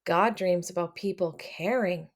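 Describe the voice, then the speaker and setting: A woman reading a picture book aloud to children, expressive and addressing them directly as she comments along. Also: fairly low pitch, measured pace. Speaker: read-aloud storyteller for children.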